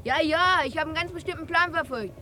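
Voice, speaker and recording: High-voiced, sneering schoolkid. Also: female voice, fast, sharp tone, a little hiss or hum. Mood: sneering, ironic. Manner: sneering, needling. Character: mocking, scheming schoolchild in a street gang. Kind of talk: German radio play acting.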